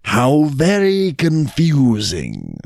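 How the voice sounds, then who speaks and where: A man's voice: mid-range voice, huge pitch swings, drawn-out words, creaky, a little mellow, no echo, clean studio sound, exaggerated voice. A man voicing a sly jackal in a storybook tale who plays muddled and dim, hesitant and plaintive. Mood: puzzled. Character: sly trickster jackal feigning muddled, dithering stupidity. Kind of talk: storybook character reading.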